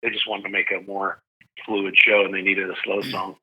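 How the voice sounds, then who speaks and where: A middle-aged man, a sports announcer, telling stories as a podcast guest in an energetic, chatty way, often saying "dude" and "like". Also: sits low, moderate pace. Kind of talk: casual storytelling interview. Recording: narrow phone-like sound.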